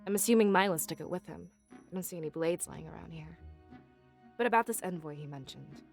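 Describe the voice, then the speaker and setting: A woman's voice: fairly low pitch, a little fast, gravelly, faint music behind. A woman playing a doubtful, urgent female adventurer in a fantasy video game. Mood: suspicious.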